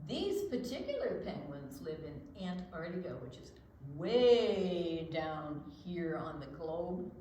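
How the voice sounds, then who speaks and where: An older woman co-hosting a children's storytime, explaining and reading in a gentle, deliberate, expressive way. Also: fairly low pitch, heavy reverb, a little hiss or hum. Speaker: children's storytime co-host and reader.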